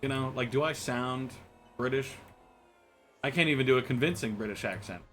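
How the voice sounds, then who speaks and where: A man's voice: medium pitch, moderate pace, a bit bright, faint music behind. An American man streaming a game and chatting with viewers, rambling and joking, often restarting his words. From United States. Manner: rambling, joking, frequent stammered restarts and laughs. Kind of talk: casual chat with viewers while gaming.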